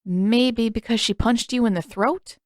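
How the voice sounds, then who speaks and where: A woman voicing a gentle, reasonable young teenage boy in a story, mild and a little hesitant. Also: fairly high pitch, measured pace, no room sound, clean studio sound, voice pushed up, natural voice. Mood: gently reasoning.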